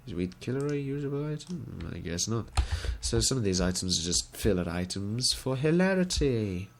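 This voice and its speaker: A young man commentates a game in a wry, put-on gentlemanly manner, his deep, gravelly voice stuffy from a cold. He speaks into a headset, with faint keyboard clicks behind him. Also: big pitch swings, moderate pace, a little hiss or hum. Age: young adult. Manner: mock-posh, wry, gentlemanly put-on accent. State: congested from a cold. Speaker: gaming video creator. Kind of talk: let's play commentary.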